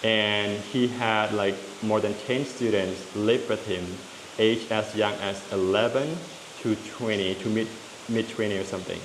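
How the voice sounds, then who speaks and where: A man's voice: on the low side, fairly even intonation. A Lao man in his thirties with a Lao accent, explaining things in a casual vlog with frequent fillers and restarts. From Laos. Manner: talks in halting stretches with many fillers and restarts. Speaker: vlogger. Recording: echoey room with long reverb and faint hiss.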